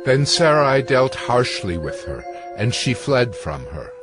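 A man narrating scripture from a script in a measured, formal manner. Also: mid-range voice, very animated, music in the background.

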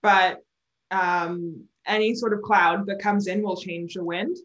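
A woman's voice: fairly low pitch, steady tone, measured pace, a bit bright, muffled recording. Young woman on an echoey video call. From Barbados. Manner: chatty, explains with hand gestures, laughs often. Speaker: sailor, interview guest. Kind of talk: remote video interview. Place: indoor room at home. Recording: roomy video call audio.

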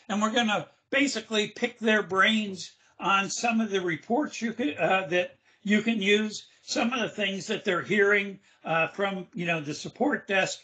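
Man, fairly high voice, bright tone, slightly hoarse, chatty webinar host, video call. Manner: loose and chatty, with fillers. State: losing his voice, hoarse. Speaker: webinar presenter. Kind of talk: casual screen-share demo.

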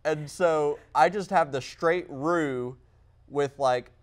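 A man's voice: fairly high pitch, takes it slowly. A man hosting a show in a casual, chatty style. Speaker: show host. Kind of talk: casual online show chat.

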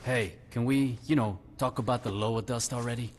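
An actor playing a brash street gang boss in a video game, blunt, sardonic and impatient. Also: male voice, medium pitch, steady tone, normal speed, a little hiss or hum, lightly acted. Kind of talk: video game cutscene acting.

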